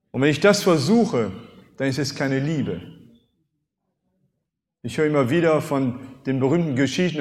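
A man in his fifties whose first language is French preaches in a fairly high voice. He pushes points with sudden rises in loudness and rhetorical questions. His voice carries a long reverb, as if from a large hall. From France. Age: 50s. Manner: animated, rhetorical questions, emphatic swings in loudness. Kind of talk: sermon.

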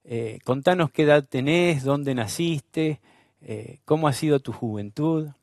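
Unhurried man hosting. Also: on the high side, creaky, a little mellow, no room sound, clean studio sound. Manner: unhurried, with many pauses and fillers. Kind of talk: devotional interview intro.

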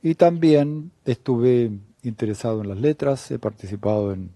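An older man from Chile, a guest theologian answering interview questions in a measured, unhurried way with many pauses. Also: medium pitch, animated, dark tone, dry recording.

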